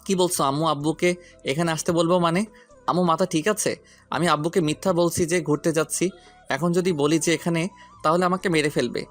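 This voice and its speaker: A man's voice: a little high, steady tone, a little fast, natural voice. A man plays an impulsive young man in an audio story. He pleads and challenges with stubborn insistence, fiercely protective, over background music. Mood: insistent. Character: hot-headed young man, protective son and fiance.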